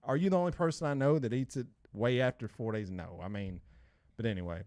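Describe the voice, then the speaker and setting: A man co-hosting a podcast, chatting casually and teasing his co-host with animated outbursts. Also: medium pitch, fast, no room sound, clean studio sound. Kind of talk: casual chat.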